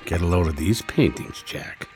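An actor playing a gruff, skeptical, wisecracking police sergeant, blunt and slangy, in a detective radio drama. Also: male voice, low-pitched, moderate pace, over music, lightly acted. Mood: mocking. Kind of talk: old-time detective radio drama acting.

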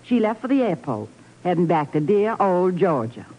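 A woman's voice: lowish voice, big pitch swings, moderate pace, lo-fi sound. A folksy woman in a 1950s radio mystery. She is feisty and defensive, with a melody that rises and falls widely. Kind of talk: 1950s radio drama acting.

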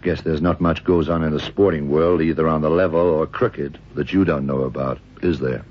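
An actor playing a shrewd, methodical detective in an old radio crime drama, gravelly and deliberate, musing as he questions. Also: rumbling low, fairly even intonation. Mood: probing, musing. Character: shrewd, methodical middle-aged American male detective. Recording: dull vintage radio drama recording.

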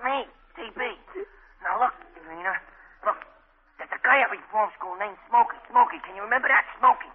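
A male actor playing a shifty, excitable teenage slum gang boy in a 1940s radio drama, slangy, with a New York accent. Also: high-pitched, brisk, slight background noise, close to an everyday voice. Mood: sly, urgent. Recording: tinny, band-limited 1940s radio recording.

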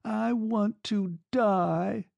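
Man voicing a lovesick young woman, pitched high. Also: unhurried, dry recording, full character voice. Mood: desperate, pleading. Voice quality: soft, breathy delivery. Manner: pleading, emotionally raw. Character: young woman in love, clinging, anguished and desperate.

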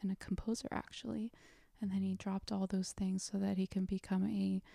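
Young woman from korea, gravelly and very mellow, dry close sound, talks slow and thoughtful. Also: mid-range voice, level tone, no room sound. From South Korea. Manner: soft-spoken, thoughtful, with frequent hesitations. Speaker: guest. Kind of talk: reflective interview talk.

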